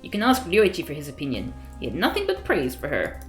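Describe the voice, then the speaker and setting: A woman's voice: low voice, huge pitch swings, fast, echoey room, faint music behind. A woman reading the lines of an earnest middle-aged father pleading politely with his boss in a visual novel. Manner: polite and eager to reassure.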